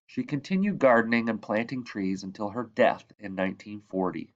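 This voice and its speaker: A man reading a children's book aloud as a narrator, with measured, expressive phrasing and emphasis on key words. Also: fairly low pitch, highs cut off. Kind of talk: read-aloud storybook narration.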